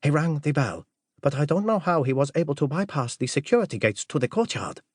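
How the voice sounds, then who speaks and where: A man performs a diminutive butler for an audiobook, his voice lifted high above a narrator's register. He is formal, guarded and deferential, choosing courteous phrases in a dry, close recording. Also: big pitch swings, brisk, dry recording. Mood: polite, formal.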